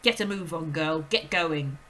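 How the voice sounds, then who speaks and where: Woman putting on a rude storybook dad, gruff, lower voice, loud curt orders, impatient. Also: measured pace, a little hiss or hum. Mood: gruff, snapping. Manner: curt, snapping, barked orders. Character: gruff impatient middle-aged father, bossy and rude. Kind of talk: storybook read aloud.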